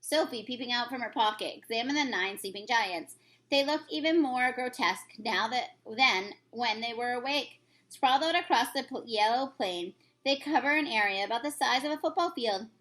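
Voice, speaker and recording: A woman's voice: a little high, moderate pace, fairly bright tone, rough recording. A woman reading a children's storybook aloud as a teacher would, with occasional stumbles.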